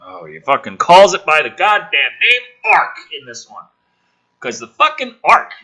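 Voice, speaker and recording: Male review host, higher-pitched and piercingly bright, for emphatic script reading and exasperated, sweary ranting. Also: sing-song, measured pace. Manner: ranting, incredulous, profane; theatrical, emphatic.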